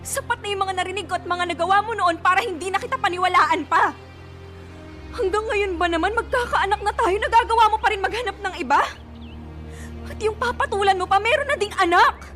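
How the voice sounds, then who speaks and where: High-voiced, wounded young Filipina girlfriend. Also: fairly quick, sharp tone, soft background music. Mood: angry. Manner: accusing, emotional, confrontational. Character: betrayed, pregnant young woman, hot-tempered and anguished. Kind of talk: Filipino radio drama acting.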